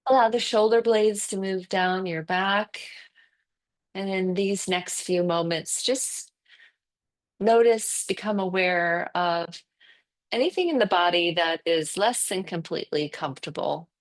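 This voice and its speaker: A woman teaching guided yoga nidra meditation, speaking calmly and soothingly in short phrases with long pauses between them. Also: on the low side.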